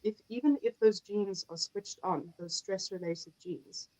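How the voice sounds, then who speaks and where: A woman with a South African accent, explaining things in an articulate, measured way as an expert guest in a conversation. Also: medium pitch, darkish tone, rough recording. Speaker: guest expert, naturopathic herbalist. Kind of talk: explanatory conversational interview talk.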